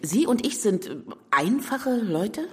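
An actress playing a smooth, sceptical female TV talk show host in an audio drama, probing with polished irony. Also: fairly high pitch, big pitch swings, a little slow, darkish tone, a little hiss or hum. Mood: mocking, cutting. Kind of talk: audio drama acting as a TV interviewer.

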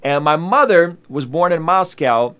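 A man's voice: fairly high pitch, a little slow, clear, resonant tone. Earnest man talking straight to camera. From Azerbaijan. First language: Russian. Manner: earnest, animated, many restarts and fillers. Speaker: video host. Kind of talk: casual commentary to camera, reading news aloud. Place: small indoor studio with colored lights.

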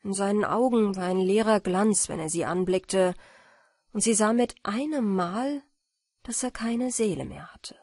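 A woman narrating a fairy tale as an expressive audiobook reader. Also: medium pitch, a little slow, no echo, studio quality.

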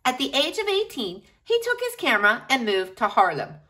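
A woman reading a picture book aloud to children in an expressive, animated way, speaking directly to her young listeners. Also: high voice, moderate pace.

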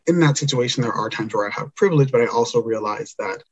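A man's voice: medium pitch, quick pace, highs cut off. A man talking as a podcast guest in an earnest, reflective way, often restarting his sentences. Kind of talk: conversational interview.

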